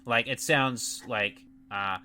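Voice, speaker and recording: A man with a New Zealand accent chatting on a podcast as a guest, excitable, stammering and repeating words, swearing freely. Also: mid-range voice, takes it slowly, slight background noise. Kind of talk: casual podcast chat.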